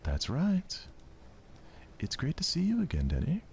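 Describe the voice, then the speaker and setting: Guy doing sweet popular schoolgirl, poised n welcoming, pitched up. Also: wildly swooping pitch, unhurried, vocal fry, a little mellow, a little hiss or hum, full character voice. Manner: gracious, welcoming.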